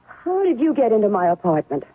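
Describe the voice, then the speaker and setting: Sharp, husky-voiced heroine; animated delivery; tinny 1970s radio sound with faint music. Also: female voice, a little high, fairly quick. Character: shrewd woman caught in spy intrigue. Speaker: narrator and heroine. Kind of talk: 1970s radio drama acting.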